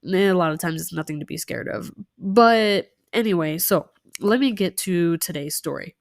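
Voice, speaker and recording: A woman's voice: lowish voice, normal speed, dry recording. A young woman chatting casually with many fillers and asides while telling a story time over a nail tutorial.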